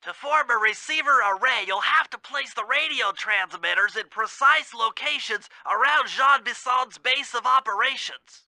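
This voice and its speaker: A man's voice: extremely high pitch, moderate pace, hardly any pauses, sharp tone, no room sound, full character voice, rough recording. A man voicing a fussy, brainy gadget expert in a video game, speaking in a precise, formal, instructive way. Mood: earnest, instructive. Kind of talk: video game mission dialogue.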